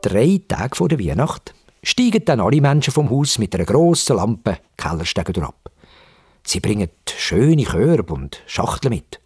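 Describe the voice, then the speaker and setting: A man with a Swiss German accent narrating a children's story dramatically, with suspenseful pauses and repetitions. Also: fairly low pitch, husky, no echo, clean studio sound, faint music behind. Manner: expressive, suspenseful, with repetitions for effect.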